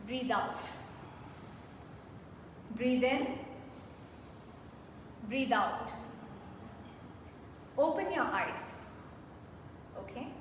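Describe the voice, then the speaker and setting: A woman's voice: high voice, expressive, drawn-out words, long pauses. A woman presenting a self-care workshop to a live audience, engaging them with questions and guiding a mindfulness exercise. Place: large hall with an audience. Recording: echoey hall, mic far off, faint noise.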